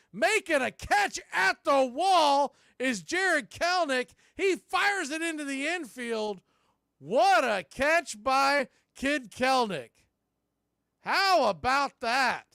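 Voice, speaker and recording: Higher-pitched male baseball play-by-play announcer. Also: bright, forceful tone, no room sound, studio quality. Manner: clipped pitch calls mixed with folksy, joking chatter. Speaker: baseball play-by-play announcer for a fan radio stream. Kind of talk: live baseball commentary with casual asides.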